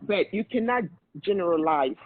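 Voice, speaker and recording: A man's voice: high voice, lively intonation, moderate pace, slight background noise. A man with a Nigerian accent hosting a podcast discussion. He speaks assertively and lectures his listeners with blunt advice. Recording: tinny phone-line audio.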